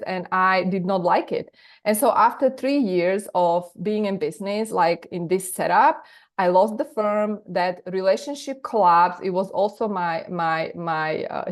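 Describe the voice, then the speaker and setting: Slovak woman hosting an upbeat online masterclass. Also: medium pitch. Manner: energetic, emphatic repetition. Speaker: business coach. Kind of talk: live online masterclass talk. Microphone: video call.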